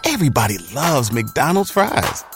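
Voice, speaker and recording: A man's voice: medium pitch, very animated, normal speed, over music. A man reading a playful scripted commercial as an announcer. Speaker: advertisement announcer.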